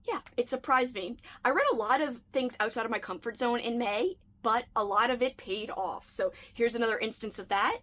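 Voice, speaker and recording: A woman with a fairly high voice talks through her monthly reading in a chatty, enthusiastic way, tossing in playful asides. Her voice is a little worn. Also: normal speed, few pauses, a bit bright. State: slightly losing her voice. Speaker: book reviewer. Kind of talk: casual reading wrap-up chat.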